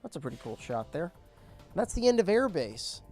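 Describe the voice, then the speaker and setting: A young man casually commentating his gameplay, explaining objectives as he goes, his voice cracking and squeaking now and then. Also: sing-song, moderate pace, darkish tone, faint music behind. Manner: chatty, explains objectives as he plays. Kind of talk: casual let's play commentary.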